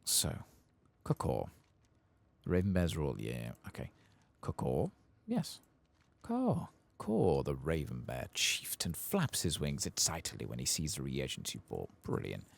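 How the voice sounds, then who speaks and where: Very deep, creaky soft-spoken man. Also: huge pitch swings. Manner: musing to himself, hesitant, unhurried asides. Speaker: ASMR gaming streamer narrating his play. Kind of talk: soft-spoken ASMR game commentary, reading quest text aloud.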